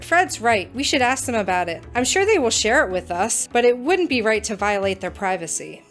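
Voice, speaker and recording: Woman playing an eager, high-voiced young female cadet over music. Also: big pitch swings, normal speed. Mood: earnest. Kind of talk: audio drama acting.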